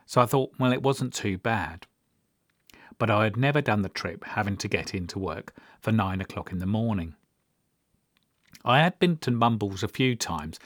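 A man's voice: fairly low pitch, no room sound, clean studio sound. A mature man hosting a podcast, narrating memories from his own life in a personal, storytelling way. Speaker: podcast host.

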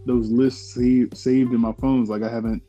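A man's voice: mid-range voice, level tone, normal speed, dark tone, faint music behind. A man in casual podcast chat, rambling with many fillers and restarts.

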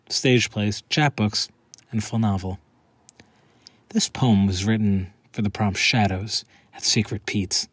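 A man's voice: lowish voice, no echo. A man who is a poet, reading his own prepared words aloud. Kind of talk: scripted introduction and poetry recitation.

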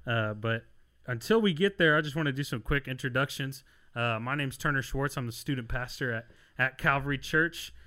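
A man's voice: medium pitch, moderate pace, few pauses, bright, forceful tone. A young man hosts a church youth podcast in a loose, upbeat way. He hypes up his friends as they talk and keeps the chat moving along. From Illinois, outside Chicago. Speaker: student pastor. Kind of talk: casual podcast hosting.

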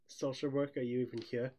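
A young man co-hosting a casual podcast, telling anecdotes in an excitable, rambling, giggly way. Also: medium pitch. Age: young adult. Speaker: podcast co-host.

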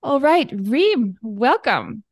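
A woman career coach hosting her podcast, speaking upbeat and stressing key words as she pitches her program and questions her guest. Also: big pitch swings, slow pace, no room sound, clean studio sound. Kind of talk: podcast host promo and interview.

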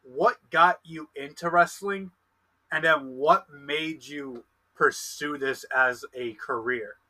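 Male co-host, early 20s; bright, slightly high voice; eager, stumbling. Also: slow, low-quality recording. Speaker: podcast co-host, wrestler. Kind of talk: casual podcast interview.

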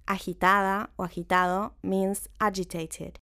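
Female podcast host, slow and clear scripted narration, mid pitch. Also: level tone, sharp tone. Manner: clear, careful enunciation. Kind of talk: scripted narration for language learners.

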